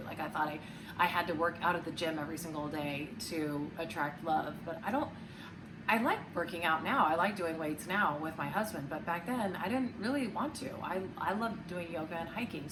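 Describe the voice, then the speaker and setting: A woman in her forties, an animated, loud-voiced coach, talking casually and frankly to camera with lots of fillers and restarts. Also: sits low, distant mic, noisy background. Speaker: relationship coach. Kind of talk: casual vlog talk to camera.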